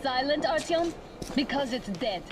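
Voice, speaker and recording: A high-pitched young woman soldier, blunt and full of feeling. She is a tough, impatient wife in a dramatic video game, with loud noise behind her. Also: expressive, measured pace. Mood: weary, exasperated. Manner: blunt, emotive.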